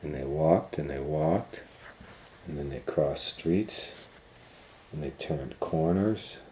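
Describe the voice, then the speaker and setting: A man's voice: a bass voice, slow, mellow, slight background noise, rough recording. A man reading a children's picture book aloud as a storytime host, in a dramatic, playful way.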